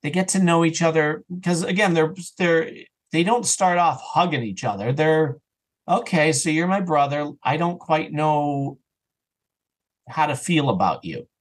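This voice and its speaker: A man chatting casually and enthusiastically as an interview guest, rambling and animated, sometimes acting out bits of dialogue. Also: fairly high pitch, a little slow. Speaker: interview guest, audio drama writer and actor.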